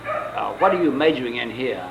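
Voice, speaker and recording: Gravelly male interviewer on hissy tape. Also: mid-range voice, animated, lo-fi sound. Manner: measured, polite, with hesitant fillers. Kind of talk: formal interview questioning. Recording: hissy old tape recording.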